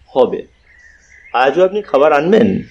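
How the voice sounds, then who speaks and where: A man narrating a scripted mystery story in a measured, expressive, dramatic style. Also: on the high side, muffled recording. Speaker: story narrator, also voicing the investigator. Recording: long reverb over music and effects. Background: music and birdsong effects.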